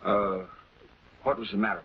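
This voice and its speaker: A man's voice: fairly low pitch, little pitch movement, close to an everyday voice. A man playing a composed, affable public prosecutor in a late-1940s television crime drama, speaking in a polite, conversational way. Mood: politely probing. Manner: conversational, polite, measured questioning. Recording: dull, noisy 1940s film soundtrack.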